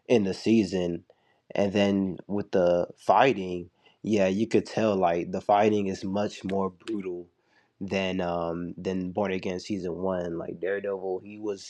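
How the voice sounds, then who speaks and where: A man's voice: sits low, a little mellow. A young man giving a loose, chatty spoken review, full of "like" and restarts, while a little sick. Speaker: show reviewer.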